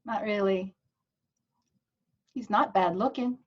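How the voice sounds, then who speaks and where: A woman's voice: mid-range voice, slow pace. A woman playing a naive, sheltered, wistful nun in an earnest comedic table read. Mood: mild, curious. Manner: innocent, earnest, easily scandalized. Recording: dull, lo-fi video call audio.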